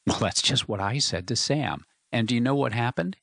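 A man's voice: mid-range voice, lively intonation, moderate pace, vocal fry, a bit bright, slightly rough, no echo, clean studio sound, pitched above the performer's own voice, heavily acted. A man voicing a worried young engineer who talks urgently and uneasily. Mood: urgent, uneasy.

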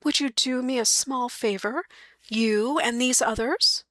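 A woman voicing a soft-spoken, grieving housewife who gently coaxes the men around her, drawing out her words. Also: a little high, no room sound, voice pushed up. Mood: sweetly pleading. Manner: gentle pleading, drawn-out words.

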